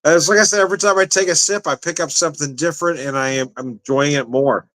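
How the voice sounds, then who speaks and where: A man's voice: a little high, quick pace, fairly bright tone. A man giving a casual, rambling tasting review, talking to the camera with frequent laughs and restarts. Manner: chatty, rambling, laughs often. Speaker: drinks reviewer.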